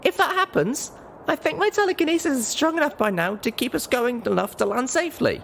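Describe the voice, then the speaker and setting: A man's voice: very high-pitched, lively intonation, a little fast, gravelly, a bit bright, a little hiss or hum, pitched above the performer's own voice, heavily acted. A man voicing a feisty, earnest young female pony in an audiobook story. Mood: reassuring.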